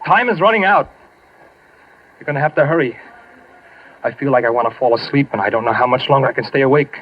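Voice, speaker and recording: An actor playing a gravely wounded, stubborn young American soldier in an old radio war drama. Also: male voice, mid-range voice, fast talker, highs cut off, close to an everyday voice. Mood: urgent, weary. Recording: noisy, band-limited old radio recording.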